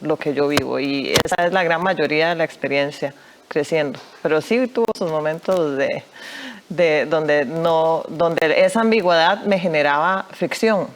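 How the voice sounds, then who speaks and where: A woman's voice: low-pitched, moderate pace, a little hiss or hum, low-quality recording. A young Costa Rican woman, a guest artist in a TV news studio interview, speaking thoughtfully with many fillers and restarts. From Costa Rica.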